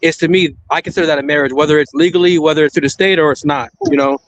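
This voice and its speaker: A man's voice: on the high side, lively intonation, racing, lo-fi sound. A married man on a discussion panel, talking in a loose, rambling way and often adding "you know what I mean.".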